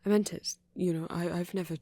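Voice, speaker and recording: A performer playing a soft-spoken, wounded male vampire in an intimate audio roleplay, tentative and tender, often trailing off. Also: mid-range voice, fairly even intonation, brisk, no echo, close to an everyday voice. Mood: shy, reassuring.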